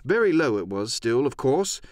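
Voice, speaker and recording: A man narrates an adventure audiobook from a script. His medium-pitched voice moves with lively inflection and is recorded close and dry. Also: normal speed, no echo.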